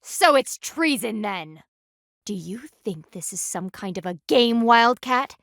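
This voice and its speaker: A woman's voice: a little high, expressive, measured pace, vocal fry, a bit bright, no room sound, studio quality, heavily acted. A woman voicing a stern, proud panda warrior in a video game, speaking formally and with haughty, precise diction. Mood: indignant, stern. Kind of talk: scripted video game voice acting.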